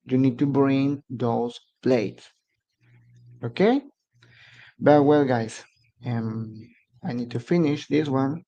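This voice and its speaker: Man, Mexican Spanish accent, native Spanish speaker, halting English, live-stream host, dull lo-fi sound. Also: medium pitch, dark tone. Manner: halting, restarting, searching for English words. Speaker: immigration advice channel host. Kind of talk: casual live-stream talk to viewers.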